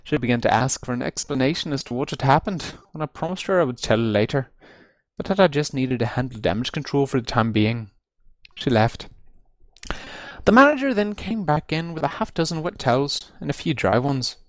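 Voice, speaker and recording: A man reads a comic first-person tale with highly animated, swooping intonation. His delivery is theatrical and emphatic, stretching out key phrases for effect. The sound is close and dry, as from a computer microphone on voice chat. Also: brisk, no room sound. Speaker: amateur reader of a comic story. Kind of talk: dramatic read-aloud of a humorous first-person story. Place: recorded over an online voice chat.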